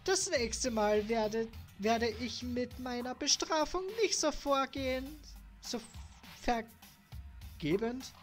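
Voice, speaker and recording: A woman's voice: a little high, takes it slowly, soft background music, voice pushed up. A woman with a Styrian Austrian accent voicing a mischievous male robot teddy bear headmaster, gleeful and drawn out.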